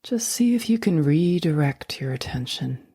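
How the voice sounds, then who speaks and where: A low, mellow woman's voice guides a sleep meditation, soft and slow. Much of it drifts into a breathy near-whisper. The sound is close and dry, with no room around it. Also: animated, no echo.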